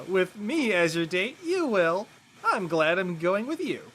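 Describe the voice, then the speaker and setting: Casting: male actor as high school senior boy, lovelorn and defensive, joking and slangy, swinging pitch, for an audio drama. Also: big pitch swings, slow, a bit bright, slight background noise, full character voice, poor audio. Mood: joking, casual. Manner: casual slangy teen talk. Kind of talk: live audio drama table read.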